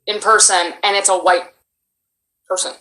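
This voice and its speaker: A woman's voice: medium pitch, level tone, moderate pace, bright, forceful tone. A woman with a Cuban Miami accent, a voice actress chatting animatedly in an interview and laughing often. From Miami, United States. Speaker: voice actress and creator. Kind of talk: casual interview chat. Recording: roomy echo.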